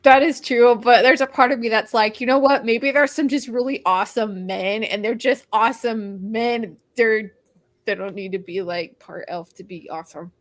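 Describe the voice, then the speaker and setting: A woman's voice: fairly high pitch, moderate pace, no echo. A woman hosting an online book club, talking in a chatty, upbeat way with frequent "um"s, at home with a cat nearby. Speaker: host of a book club stream. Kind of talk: casual online book discussion.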